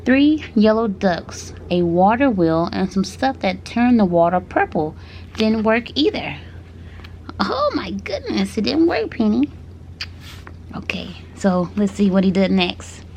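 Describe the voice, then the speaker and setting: A woman reading children's stories aloud to her baby, speaking gently and breaking off for playful asides. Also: medium pitch, sing-song, a little slow, slight background noise.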